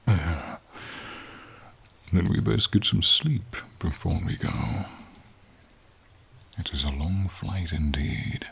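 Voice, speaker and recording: A very deep, husky fantasy dragon, acted by a man, speaking in an intimate ASMR roleplay. The delivery is very slow and pensive, gentle and wise, with a lonely edge and slightly formal wording. A heavy gravelly rasp and plenty of breath sit in every phrase. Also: heavily acted. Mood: sleepy, tender. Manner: measured, pensive, slightly formal, with frequent pauses. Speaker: voice actor in roleplay audio. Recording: rain or ambient noise behind. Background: light rain, outdoor ambience.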